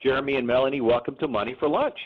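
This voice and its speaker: A man's voice: medium pitch, rapid-fire. A man hosting a radio interview, friendly and prone to repeating words as he starts. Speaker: radio show host. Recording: phone-quality radio audio.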